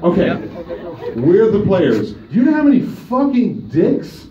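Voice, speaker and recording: A man's voice: sits high, huge pitch swings, slow, dark tone, a little hiss or hum. A man in his thirties doing a crude, profane open-mic stand-up set, teasing the front row from a small bar stage. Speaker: stand-up comedian. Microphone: handheld stage mic, filmed from far above. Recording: distant roomy lo-fi club recording. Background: audience laughter.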